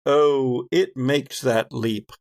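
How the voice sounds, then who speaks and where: A man running a horror tabletop game as game master, narrating in a deliberate, descriptive way with pauses mid-sentence. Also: mid-range voice, darkish tone, a little hiss or hum.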